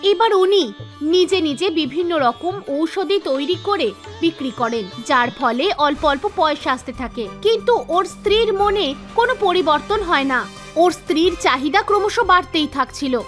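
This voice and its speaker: A woman narrator reading a scripted animated story for children. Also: extremely high pitch, normal speed, soft background music.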